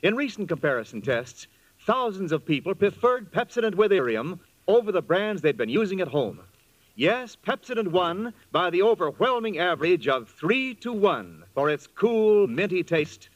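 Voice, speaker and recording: Animated male 1940s radio ad announcer. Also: high-pitched, moderate pace, no room sound. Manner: polished, emphatic salesmanship. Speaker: commercial announcer. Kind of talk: 1940s radio advertising read. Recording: dull vintage radio recording.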